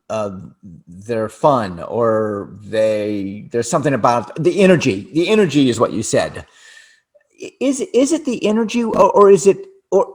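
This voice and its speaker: An older man co-hosting a relaxed conversation, talking in halting bursts with frequent restarts and repeated words. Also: mid-range voice. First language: English. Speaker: co-host. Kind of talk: casual chat.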